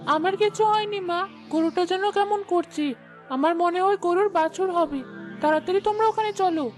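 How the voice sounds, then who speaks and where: A woman's voice: very high voice, moderate pace. A woman voicing an eager, anxious young village daughter in a cartoon. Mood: urgent. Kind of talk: Bangla cartoon voice acting. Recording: music behind the voice.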